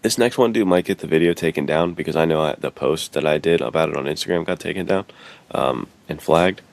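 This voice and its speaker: A man's voice: a bass voice, fairly quick, creaky. A man co-hosting a podcast in casual, slangy chat, often saying "dude" and "like". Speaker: podcast co-host.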